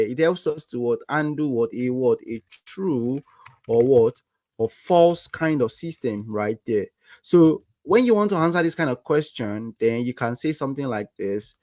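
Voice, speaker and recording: A young Nigerian man with a Nigerian accent leads a live coding lesson, working through each step slowly and at an ordinary pitch. He keeps stopping to ask "what?" as a rhetorical question. The sound is dry and close, with no room echo. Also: darkish tone. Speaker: coding livestream instructor. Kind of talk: live coding tutorial with chat.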